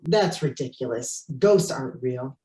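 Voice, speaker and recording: A man playing a gruff, jealous customer of fifty in an animated comedy table read. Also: mid-range voice, measured pace, natural voice. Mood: indignant. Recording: roomy, echoing home recording.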